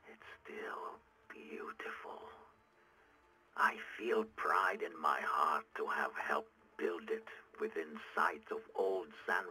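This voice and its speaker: Low, brooding, weary revolutionary leader. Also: male voice, pushed and bright, exaggerated voice, rough recording. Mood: wistful, weary. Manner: brooding, deliberate, halting monologue. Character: weary, driven revolutionary leader recording private confessions. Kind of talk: video game audio log acting.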